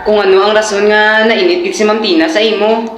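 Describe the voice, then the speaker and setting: A woman plays a chatty household worker in a radio drama, caring yet nagging and teasing. The mid-pitched voice has a Filipino Hiligaynon accent, echoing in a room with music behind. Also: steady tone, fairly quick, lightly acted. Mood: gossipy, teasing. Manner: informal, nagging. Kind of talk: amateur radio drama acting.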